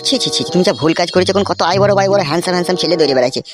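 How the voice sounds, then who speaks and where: A man's voice: sits high, racing, lightly acted. A man playing a vain, flirtatious party henchman in a comic cartoon, nosy and preachy. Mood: scolding. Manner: nosy, preachy, smooth-talking. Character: meddling, flirtatious party henchman, vain bachelor of thirty-three. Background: background music.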